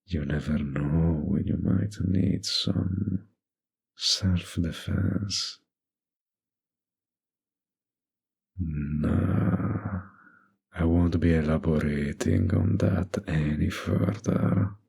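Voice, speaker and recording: Man playing a slow, husky flirty mafia boss. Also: low voice, fairly even intonation, darkish tone, exaggerated voice. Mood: suggestive, sly.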